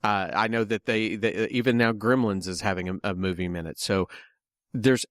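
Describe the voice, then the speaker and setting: A man with a fairly low voice co-hosts a casual film podcast. He has the tail end of a head cold and talks in a joking, teasing way. The sound is dry, with little room in it. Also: moderate pace, a bit bright, no echo. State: getting over a head cold. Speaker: podcast co-host. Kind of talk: casual chat about a film.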